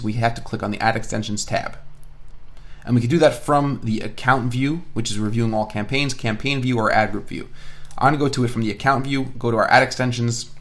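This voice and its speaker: A man's voice: mid-range voice, fairly bright tone. A man instructing an online course in an informal screencast style, explaining as he thinks aloud and repeats phrases for emphasis. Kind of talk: informal screencast software tutorial.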